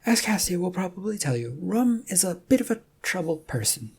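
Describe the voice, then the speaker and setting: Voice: mid-range voice, very animated, moderate pace, darkish tone, breathy edge, voice pushed up. A storybook narrator voicing a polite, slightly prim woman guide, courteous with a tart edge. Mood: explaining politely.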